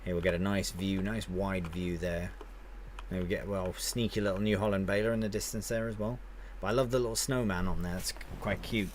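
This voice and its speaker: A man's voice: low-pitched, fairly even intonation, brisk, a little hiss or hum. A man streaming a game live, chatting casually and rambling as he answers viewers with friendly banter.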